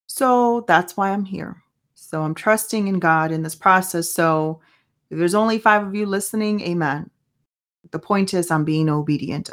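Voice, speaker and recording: A fairly low-voiced woman whose first language is Spanish talks through her own faith podcast. She sounds earnest and conversational, often stopping to ask herself questions as she goes. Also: fairly bright tone. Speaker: podcast host. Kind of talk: casual faith podcast monologue.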